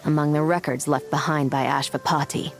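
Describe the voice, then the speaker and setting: An actress playing a composed, stern woman investigator in a video game, speaking in a measured, matter-of-fact way. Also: low-pitched, steady tone, creaky, over loud music. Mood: focused, analytical. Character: composed adult female investigator, stern, evidence-minded.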